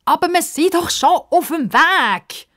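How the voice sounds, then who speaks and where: A woman's voice: very high-pitched, expressive, unhurried, a bit bright, voice pushed up, full character voice. A woman voicing a plucky, impatient young girl in a children's story, blurting out what she thinks. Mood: defiant.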